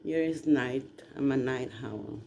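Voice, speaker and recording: A man from southern Italy, rambling casually to listeners in a halting, emphatic way. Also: medium pitch, creaky, mellow. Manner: halting, drawn-out delivery with emphatic questions. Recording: noticeable background noise.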